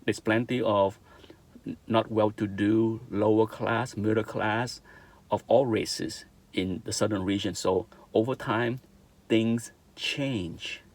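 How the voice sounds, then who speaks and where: A man's voice: on the low side, fairly even intonation, slow pace. A middle-aged man from Vietnam with a Vietnamese accent, giving an earnest opinion monologue, repeating his points and checking in with "okay?". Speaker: vlogger.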